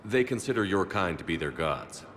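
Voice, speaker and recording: A man playing a steadfast military commander in a video game, speaking in a measured, grave manner. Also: low-pitched, lively intonation, a crowd in the background. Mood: calm, supportive.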